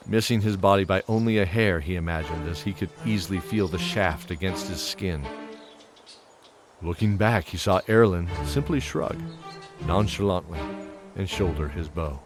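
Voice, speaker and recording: A man narrating a scripted fantasy story in a measured, dramatic manner. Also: low-pitched. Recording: music under the voice.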